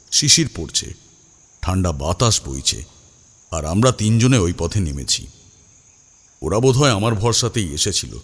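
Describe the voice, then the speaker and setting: Deep-voiced, animated male horror storyteller. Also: husky. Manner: suspenseful, dramatic. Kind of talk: dramatic first-person scripted narration.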